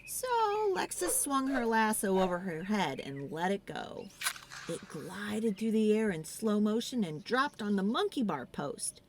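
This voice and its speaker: A woman narrating a children's storybook in an expressive, theatrical read-aloud style. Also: medium pitch, unhurried, background noise.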